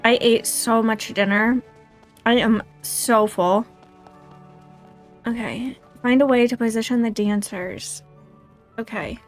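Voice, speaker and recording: A woman's voice: fairly high pitch, slow, long pauses, soft background music. A woman hosting a casual game livestream, chatting and thinking aloud in a self-deprecating way. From United States. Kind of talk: casual livestream chat and gameplay commentary.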